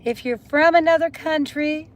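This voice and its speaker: A woman's voice: high-pitched, normal speed. A woman hosting a live stream, chatting casually and speaking directly to her viewers.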